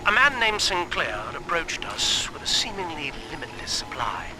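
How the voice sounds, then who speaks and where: Detached scientist guy, slightly high voice, precise matter-of-fact game diary, music behind. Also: sing-song, moderate pace, poor audio. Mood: detached recounting. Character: clinical, detached male scientist recording his account. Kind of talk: video game audio diary.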